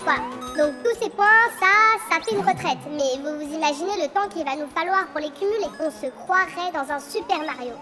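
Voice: very high voice, a little fast, over music. A performer voicing an aggrieved elf worker who gripes and explains in fussy detail, in a comic audio drama. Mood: indignant, explaining. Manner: explanatory, griping, over-detailed. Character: aggrieved elf worker grumbling about pension reform.

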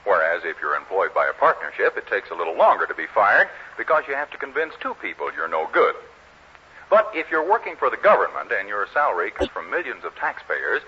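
Animated American radio announcer, male, muffled recording. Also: fairly low pitch, slightly rough. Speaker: announcer and narrator. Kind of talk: 1940s radio scripted announcing.

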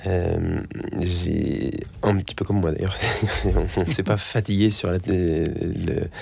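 A man's voice: low voice, fairly even intonation, takes it slowly, gravelly, a little mellow, slight background noise. A man speaking on a radio call-in show, stammering and repeating his words. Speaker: radio guest.

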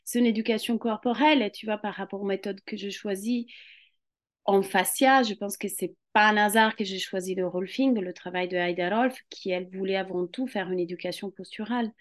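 Give me a woman who hesitates and searches for her words, at a medium pitch. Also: a little fast. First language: Italian. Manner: hesitant, many fillers and restarts, searching for words. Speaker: guest, Pilates and Rolfing practitioner. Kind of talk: conversational interview.

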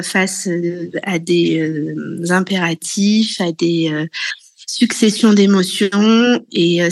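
A woman in her thirties telling her own story slowly, with many fillers and hesitations. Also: on the low side, no room sound. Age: 30s. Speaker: interview guest. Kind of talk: personal testimony.

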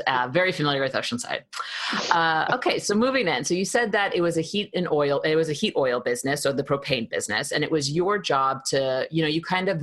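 A woman's voice: sits low, fairly bright tone. A young woman from Queens hosting an interview podcast, friendly and bubbly. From Queens, New York. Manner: bubbly, friendly, laughs easily. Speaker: podcast host.

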